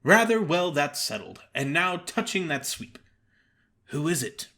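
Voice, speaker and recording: A man's voice: fairly high pitch, very animated, a little slow, bright, forceful tone, full character voice. A man playing a breezy, cajoling theatrical fellow who talks in an emphatic, teasing, persistent way for an audio drama. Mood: eager.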